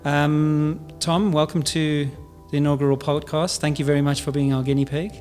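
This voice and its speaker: A man's voice: fairly high pitch, flat delivery, normal speed, darkish tone, soft background music. A male podcast host who opens and asks questions hesitantly, with many fillers. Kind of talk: podcast interview.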